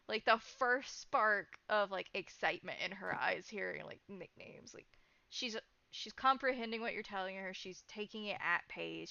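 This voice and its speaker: A woman's voice: medium pitch, gravelly, sharp tone, dry recording, muffled recording. A woman improvising third-person narration in a tabletop roleplaying game, describing what characters do and feel.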